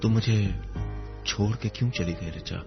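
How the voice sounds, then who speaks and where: A man with a fairly low voice tells a dramatic first-person story. He is wistful and reflective, pausing between poetic phrases. The recording is a little dull, with music underneath. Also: vocal fry.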